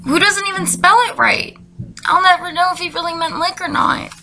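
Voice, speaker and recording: Female comedic reader, creaky fry, deadpan and sarcastic, rambling asides, music underneath. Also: very high voice, moderate pace. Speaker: comedy video creator. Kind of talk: deadpan comedic commentary and dramatic reading.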